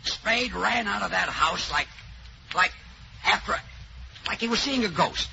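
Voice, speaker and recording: A man's voice: high voice, lively intonation, measured pace. A man as a brash radio announcer in a 1940s radio comedy. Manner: brash, salesy. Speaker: radio announcer and comic actor. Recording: dull old radio recording with clear hiss.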